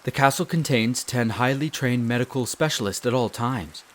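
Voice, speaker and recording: Casting: male actor for a devoted wolf butler, head servant of a castle. Formal, polite, measured delivery, mid-range pitch, fantasy audio drama. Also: fairly bright tone, natural voice. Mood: composed, reassuring. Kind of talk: scripted roleplay audio drama.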